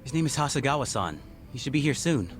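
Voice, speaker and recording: A man's voice: mid-range voice, very animated, fast, soft background music, close to an everyday voice. An actor voicing a polite, naive, earnest man in his twenties in a video game. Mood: eager, grateful. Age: 20s. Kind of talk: video game cutscene dialogue.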